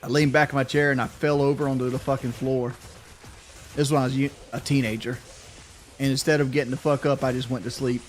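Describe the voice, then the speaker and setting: A man's voice: medium pitch, level tone, soft background music. A middle-aged man streaming a game, chatting casually and cursing, rambling with frequent restarts and stutters. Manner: rambling, profane, stutters when thinking fast. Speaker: gaming streamer. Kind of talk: casual livestream chat.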